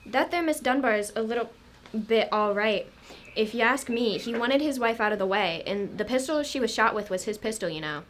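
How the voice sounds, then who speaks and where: Woman acting a female local sergeant, radio drama, chatty, deferential, folksy, higher pitch, noisy background. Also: lively intonation, a little fast, fairly bright tone. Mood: earnest, deferential. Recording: clear background noise.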